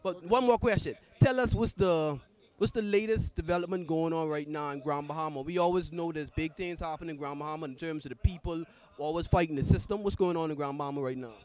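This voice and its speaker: A man's voice: a little high, fast talker, darkish tone. A man hosting an outdoor interview in a park, polite and formal, often hesitating with filler sounds. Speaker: interviewer and host. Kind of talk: on-the-street interview.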